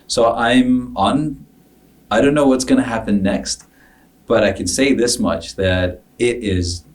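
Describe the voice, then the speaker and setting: A man's voice: medium pitch, fairly even intonation, measured pace. A man chatting reflectively on a podcast, telling anecdotes in an earnest way and acting out bits of dialogue. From Africa, later moved to Canada. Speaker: podcast co-host, business founder. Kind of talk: casual reflective podcast conversation. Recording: distant microphone.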